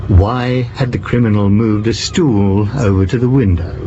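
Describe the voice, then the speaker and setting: An actor playing a shrewd, theatrical French police commissioner in an audio drama, pompous and teasing as he deliberates. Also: lowish voice, close to an everyday voice. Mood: ominous, deliberate. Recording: muffled, with background noise.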